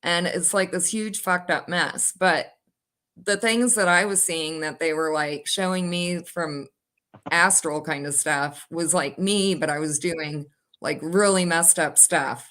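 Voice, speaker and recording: A woman's voice: fairly low pitch, a little slow, a bit bright. A woman hosting a live stream, rambling and speculating in casual chat.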